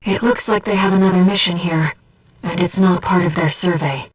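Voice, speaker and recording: A woman voicing a gentle, earnest disembodied being in a video game, guiding a pilot with composed, precise, softly formal delivery. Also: fairly low pitch, steady tone, normal speed, husky, recorded from a distance, poor audio. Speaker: mission support operator. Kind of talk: scripted video game voice acting.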